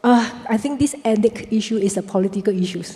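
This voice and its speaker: Malaysian woman, panelist, mellow, earnest, long hall reverb. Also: medium pitch, quick pace. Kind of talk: panel discussion.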